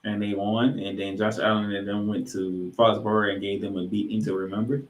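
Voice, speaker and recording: A man's voice: fairly low pitch, flat delivery, fairly quick. Roomy-sounding man hosting sports talk. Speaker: sports podcast co-host. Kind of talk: sports talk, game preview banter.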